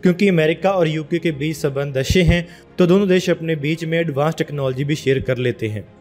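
Brief: Punjabi-accented male narrator for a defence explainer, steady and informative, a touch high-pitched. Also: a little fast, soft background music. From India.

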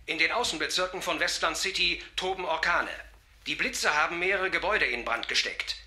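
A radio-drama news announcer. His voice is very bright and forceful, pitched high, reporting with urgency. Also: a little fast. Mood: urgent. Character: urgent male newsreader reporting disaster. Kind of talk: news bulletin in audio drama.

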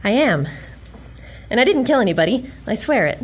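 A woman's voice: a little high, big pitch swings, moderate pace, slightly rough. A woman playing an earnest, bookish young heroine who explains things in a 1940s-style radio adventure.

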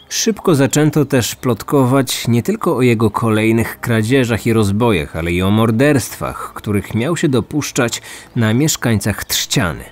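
A man's voice: mid-range voice, a little mellow. A man narrating a scripted true-crime story as a podcast host.

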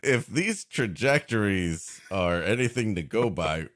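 A man's voice: on the low side, lively intonation, a little slow, fairly bright tone, dry recording, clean studio sound. A man co-hosting a comedy podcast, improvising jokey, mock-serious asides in casual chat.

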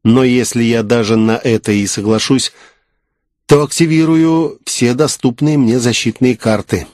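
Man acting a wary male adventurer, questioning, measured, fantasy audiobook, dry close sound. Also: mid-range voice, a little mellow, no room sound, close to an everyday voice. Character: wary male adventurer hero, doubtful and cautious.

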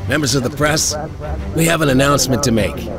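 An actor playing a formal city official announcing to the press in an audio drama. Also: male voice, medium pitch, a little fast, music in the background, natural voice.

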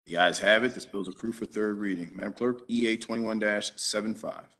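Man, forties, council chair on a video call, quick formulaic delivery, lowish voice. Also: steady tone, fairly bright tone. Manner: brisk, formulaic calls for votes. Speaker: council president chairing a meeting. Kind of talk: formal meeting procedure. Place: office with flags behind, on a video call.

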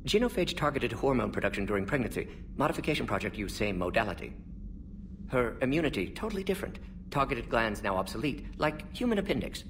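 An actor playing a brisk older alien scientist in a video game, speaking in clipped, clinical sentences without articles. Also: male voice, mid-range voice, very animated, a bit bright, far from the mic, a little hiss or hum. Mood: clinical, insistent.

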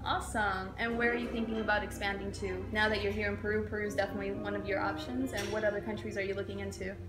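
A woman's voice: mid-range voice, level tone, fast talker, noisy background. A young woman hosting a casual, conversational interview. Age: young adult. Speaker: interviewer and vlog host. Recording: music behind the voice.

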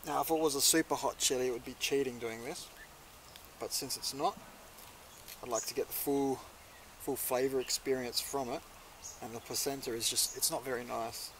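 A man's voice: medium pitch, steady tone, moderate pace, a bit bright, a little hiss or hum. A young man giving a casual, laid-back review to camera in a conversational way. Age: young adult. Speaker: chilli reviewer, video host. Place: outdoors in a leafy garden.

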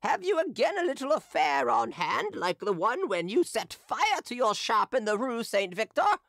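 A shrill, jeering drunken street hawker woman, shouting her abuse at the top of her voice. Her pitch swoops wildly up and down, and the recording is close and dry. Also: big pitch swings, normal speed, vocal fry, dry recording. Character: drunken staggering female street hawker, abusive.

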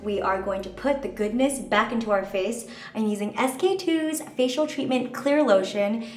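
Brief: woman in her twenties, bubbly chatty vlogger, mid pitch, roomy bathroom echo, soft music behind. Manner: bubbly, chatty, lots of "like" and "just". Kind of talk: casual skincare tutorial talk. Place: hotel bathroom at the mirror. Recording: echoey bathroom, faint music.

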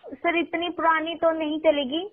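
Thin phone audio, young call center lady, high voice, polite but firm. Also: level tone, normal speed, narrow, tinny audio. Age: young adult. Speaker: immigration call centre agent. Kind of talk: customer service phone call.

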